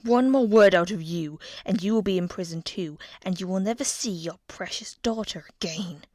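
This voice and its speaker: A woman's voice: on the low side, moderate pace, no echo, lightly acted. Woman reading as a cruel king, cold and threatening, hissy, dry close voice. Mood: furious. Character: stern cruel middle-aged male king.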